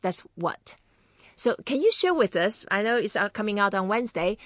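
A woman hosting a podcast, teaching Chinese phrases slowly and patiently and repeating each phrase for her guest. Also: mid-range voice, sing-song, no echo, lo-fi sound. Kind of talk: friendly language lesson.

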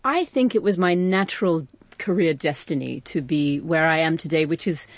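Low woman's voice, from australia, polished and eager, dry muffled sound. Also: normal speed, no room sound. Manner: polished, enthusiastic, says "absolutely" often. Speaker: luxury fashion executive. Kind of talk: interview answers.

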